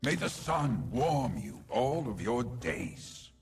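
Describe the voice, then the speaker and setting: A man's voice: medium pitch, big pitch swings, slow pace, breathy edge, full character voice. A man voicing a kindly, whimsical nature spirit from a video game, earnest and sing-song. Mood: gentle, serene. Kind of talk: video game character voice lines. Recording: game voice clips over music.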